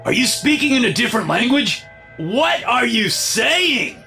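A man's voice: extremely high pitch, big pitch swings, measured pace, sharp tone, soft background music, pitched above the performer's own voice, full character voice. A man voicing a volatile, mocking male hostage-taker in an audiobook, with a taunting, explosive and demanding manner. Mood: savage mockery. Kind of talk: audiobook character acting.

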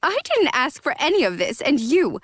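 A woman's voice: high voice, very animated, normal speed, fairly bright tone, no room sound, clean studio sound, pitched above the performer's own voice. A woman voicing a haughty, drunk teenage vampire girl who sneers and lashes out. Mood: furious. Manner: sneering, slurred, explosive.

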